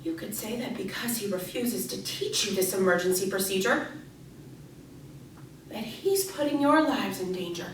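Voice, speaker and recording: Intense, falsely sweet female grade-three teacher acted by a woman, stage monologue to children, dramatic pauses, heard from afar in a reverberant room. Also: medium pitch, voice pushed down, natural voice. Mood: sweetly ominous. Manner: over-enunciated, addressing children, dramatic pauses. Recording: echoey room, distant mic, faint noise.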